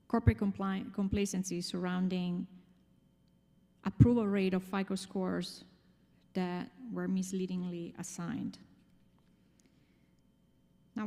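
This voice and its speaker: A woman with an Italian accent speaks to a conference room in a mellow, mid-pitched voice. She explains her points emphatically and poses questions to her listeners. Her words ring with long hall reverb. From Italy. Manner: emphatic, explanatory, with rhetorical questions and check-in tags. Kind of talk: conference presentation to an audience.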